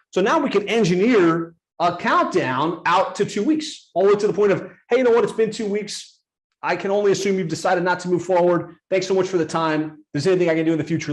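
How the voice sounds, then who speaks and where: Male webinar host, slightly higher voice, brisk sales coaching over a video call. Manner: brisk, coaching, acts out sample sales lines. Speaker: workshop host. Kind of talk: live webinar teaching.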